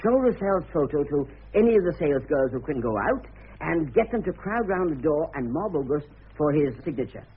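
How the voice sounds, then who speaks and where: A man's voice: on the high side, very animated, brisk, breathy edge, narrow, tinny audio, heavily acted. An actor playing a glib, exuberant, scheming publicity man in a radio drama, theatrical and persuasive. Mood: excited. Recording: band-limited old radio broadcast.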